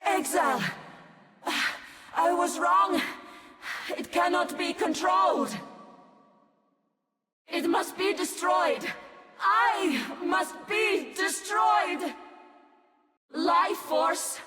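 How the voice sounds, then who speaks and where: An actress voicing a monstrous, imperious and tormented nature goddess, a video game boss who declaims in drawn-out commands. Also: female voice, extremely high pitch, sharp tone, shouting, full character voice. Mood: desperate, overwhelmed. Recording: long reverb with music behind. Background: music.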